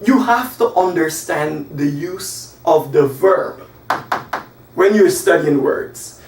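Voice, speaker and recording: A man in his forties from Guyana, teaching an English lesson at a whiteboard and spelling out example sentences slowly and with emphasis. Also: on the high side, slight background noise. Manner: animated, gesturing, slow emphatic example sentences. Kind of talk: classroom lesson. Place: small room by a whiteboard. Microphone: camera across the room. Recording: echoey room, voice from a distance.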